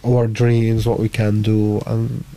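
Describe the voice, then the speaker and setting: A man's voice: fairly low pitch, flat delivery, gravelly, warm tone, low-quality recording. A man from Syria, recalling his story casually as a guest, searching for words in English. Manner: halting, searching for words in non-native English. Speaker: interview guest telling personal story. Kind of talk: casual conversational recollection.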